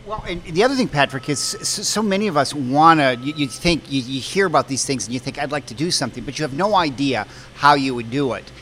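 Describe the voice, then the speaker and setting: A man hosting a casual on-location interview in a restaurant, speaking haltingly and repeating words as he goes. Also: a little high, animated, fairly bright tone, a little hiss or hum. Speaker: interviewer, show host. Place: inside a pizza restaurant.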